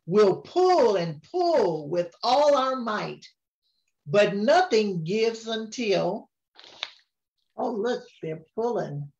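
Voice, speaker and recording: Slow, gentle older woman reading aloud. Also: mid-range voice, big pitch swings, dull audio. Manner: gentle, unhurried, chuckling often. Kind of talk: reading a rhyming picture book aloud.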